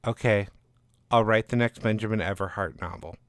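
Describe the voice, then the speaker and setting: A man's voice: on the low side, moderate pace, a bit bright, clear, resonant tone, dry recording, studio quality. A man voicing a stubborn, defensive, self-important novelist in a short story reading, speaking insistently and indignantly. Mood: grudging.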